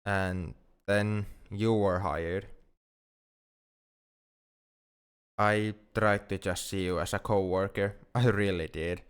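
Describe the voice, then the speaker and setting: A man playing an anxious, lovesick alien friend in an ASMR roleplay, speaking in a halting, earnest, formally worded way. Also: on the low side, fairly even intonation, smooth, close to an everyday voice. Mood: tender, confessing. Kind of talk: ASMR roleplay, intimate dramatic monologue.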